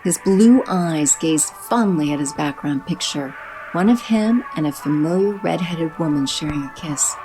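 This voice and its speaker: A woman narrating an audio drama in a steady, descriptive way. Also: low-pitched, moderate pace, poor audio. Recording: music bed under narration.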